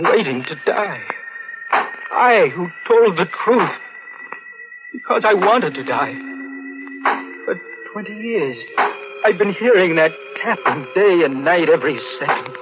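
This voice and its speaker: An actor playing a tormented, desperate young murderer in melodramatic 1940s radio suspense style, with a stammering, fervent delivery. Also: male voice, fairly high pitch, animated, a little slow, long pauses, music in the background. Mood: anguished. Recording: muffled, noisy old radio broadcast.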